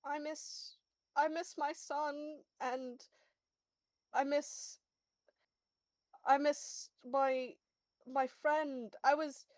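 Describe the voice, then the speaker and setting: Woman playing a high-voiced, fragile grieving young man. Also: little pitch movement, darkish tone, no echo. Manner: halting, trailing off, repeating words. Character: grieving young man, earnest, fragile, holding back tears.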